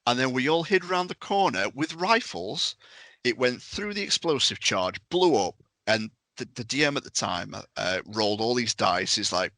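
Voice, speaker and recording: Guy on a podcast, crisp bright forceful voice, dry close sound, chatting emphatically about stuff. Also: medium pitch, no room sound. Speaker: game master and podcast guest. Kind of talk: casual podcast discussion.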